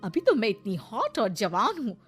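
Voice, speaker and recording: A woman voicing a haughty, scolding modern middle-aged mother-in-law in an animated story, sprinkling in English words. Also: mid-range voice, moderate pace, husky, soft background music. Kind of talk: animated moral story acting.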